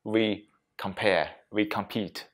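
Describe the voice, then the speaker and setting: A man leading a reflective mindfulness talk as a meditation teacher, speaking deliberately in short phrases with frequent pauses and non-native English phrasing. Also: lowish voice, steady tone. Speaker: meditation teacher giving a workplace session.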